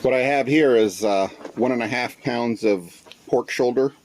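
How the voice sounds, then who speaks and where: A man in his forties, born in the Philippines, chatting casually through a cooking vlog on his windy front patio. He uses a phone and Bluetooth earbuds, drops in Tagalog phrases, and fills pauses with "uh". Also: mid-range voice. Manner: relaxed and chatty, with many uh fillers and Tagalog phrases mixed in. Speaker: cooking channel host. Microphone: Bluetooth earbuds with a cell phone. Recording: noisy outdoor phone recording with wind and sizzling. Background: sizzling and stirring in a wok.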